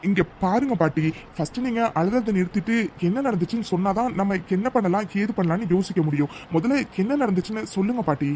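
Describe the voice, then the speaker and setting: A man's voice: high-pitched, animated, fast, darkish tone, a little hiss or hum. A man playing a concerned young family man in a comic audio drama, questioning insistently and mixing in English phrases.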